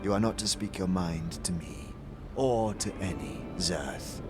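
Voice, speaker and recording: A man acts out a severe warrior woman from a fantasy audio drama. Her speech is formal and archaic, full of scorn and accusation. Soft music sits behind the voice. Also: sits low, a little slow. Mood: scornful. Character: stern, hostile, scornful female alien warrior.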